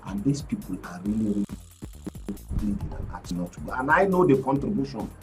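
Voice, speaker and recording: A man's voice: lowish voice, big pitch swings, quick pace. A male journalist on a radio panel, talking emphatically and repeating words as he starts his points. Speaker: journalist and media analyst guest. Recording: distant mic, faint music behind.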